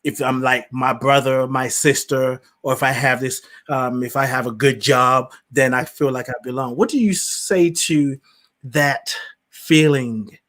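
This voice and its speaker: A man's voice: medium pitch, steady tone, a little slow, fairly bright tone. A man from Nigeria, hosting a podcast and asking long, thoughtful, searching questions. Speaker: podcast host. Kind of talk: reflective conversation.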